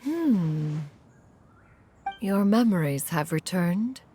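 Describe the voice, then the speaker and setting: A woman voicing an aloof, dignified, ancient immortal mentor with a creaky voice and formal, measured phrasing in a game. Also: lowish voice, expressive, warm tone, soft background music. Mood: calm, knowing. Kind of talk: dramatic scripted game dialogue.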